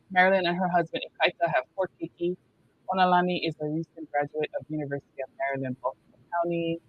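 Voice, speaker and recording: A man hosts a podcast panel, chatty and upbeat as he brings his guests on. His voice sits a little high. He mixes Hawaiian words into his English. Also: lo-fi sound. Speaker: podcast host.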